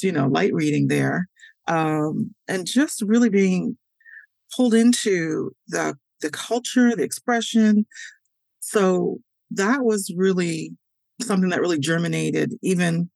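A middle-aged woman from Canada, a historian, answering interview questions in a measured, thoughtful way with pauses. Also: lowish voice, creaky, darkish tone, dry recording. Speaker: historian, guest.

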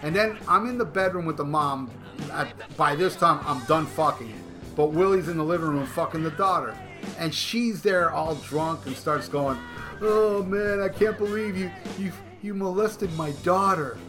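A middle-aged man hosts a casual podcast, his voice sitting a little higher than most men's. He tells a long, profane story with stumbling restarts. Music plays clearly beneath him throughout. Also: normal speed. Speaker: podcast host. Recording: music playing clearly underneath.

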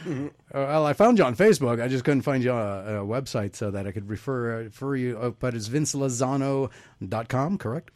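A man hosting a talk radio show, asking his guest questions in a casual, halting way with lots of fillers. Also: mid-range voice, darkish tone, no echo.

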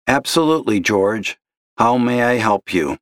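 A man's voice: medium pitch, expressive, measured pace, dry recording, clean studio sound, close to an everyday voice. A man voicing a polite, chipper customer service agent who stays patient with a difficult caller. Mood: chipper, strained. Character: upbeat young male customer service agent. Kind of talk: audio story reading.

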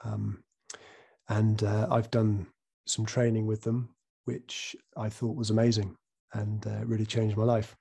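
A man hosts a podcast in a relaxed, hesitant way, his voice croaky from a recent cold. He fills gaps with ums and often restarts his sentences. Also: fairly low pitch, unhurried. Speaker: podcast host. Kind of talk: casual interview.

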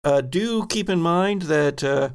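A man's voice: on the high side, lively intonation, slow, gravelly, clean tone. A man hosting as game master, narrating a tabletop horror role-playing game in a dramatic storytelling manner. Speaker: game master, podcast host.

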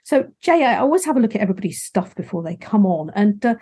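Podcast host; slightly breathy voice, mid pitch, chatty and loose with fillers. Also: female voice, sing-song, fast, mellow. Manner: friendly, rambling, many fillers. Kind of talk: casual interview chat.

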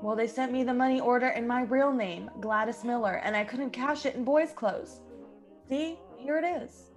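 A woman plays a plucky young heroine of an old radio drama, earnest and imploring. The voice sits fairly high, with music underneath. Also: normal speed, lightly acted. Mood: pleading. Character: plucky, pleading young woman stranded in boy's disguise.

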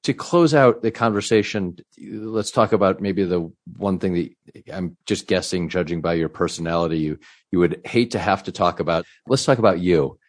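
A man speaks as an interviewer in a low-pitched voice. His delivery is conversational and effusive, with long, winding phrases that circle toward a question. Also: moderate pace. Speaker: interviewer, host.

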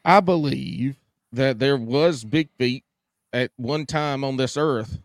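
A man's voice: mid-range voice, expressive, slow pace, no echo, clean studio sound. Congested, wry podcast co-host man. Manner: wry, teasing. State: congested, stuffy nose. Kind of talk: casual chat.

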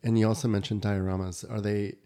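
A man's voice: fairly low pitch, level tone, a little fast, dark tone. A man hosting a casual interview, asking long rambling questions that stack up and restart. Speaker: podcast host.

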